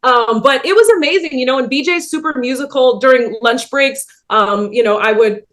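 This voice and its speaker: A woman's voice: high voice, normal speed. A woman, an actress, chatting animatedly about her work in a casual interview over a video call. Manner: animated, laughing storyteller.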